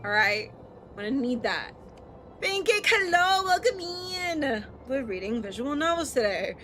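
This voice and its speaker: A woman's voice: sits high, sing-song, measured pace, a bit bright, slight background noise. A woman live-streaming, reading a story aloud with casual, playful and sometimes profane asides. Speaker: streamer hosting a visual novel read-aloud.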